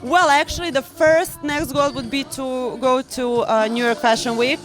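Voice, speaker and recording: A woman's voice: high voice, fairly even intonation, moderate pace, music in the background, low-quality recording. A woman from Serbia, a model and business student, answering interview questions in an upbeat, chatty way. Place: rooftop event.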